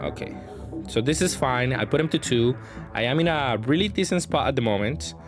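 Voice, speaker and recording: A man's voice: medium pitch, lively intonation, a little fast. A man giving casual gameplay commentary, thinking aloud in a hesitant way as he plays. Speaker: card game player presenting match commentary. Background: music.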